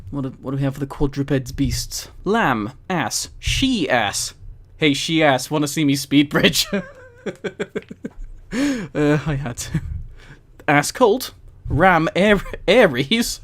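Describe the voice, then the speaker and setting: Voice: mid-range voice, animated, slow, long pauses. A streamer reading aloud on a live stream with casual, amused commentary and incredulous, mildly sweary asides. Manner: amused, often laughing while reading. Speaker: streamer and host.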